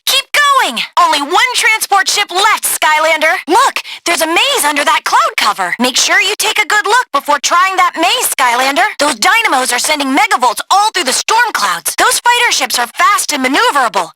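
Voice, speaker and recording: A woman voicing a brave, bossy young female adventurer in a video game, giving crisp, urgent instructions in an animated acting style. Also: very high voice, moderate pace, few pauses, full character voice. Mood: focused, instructive.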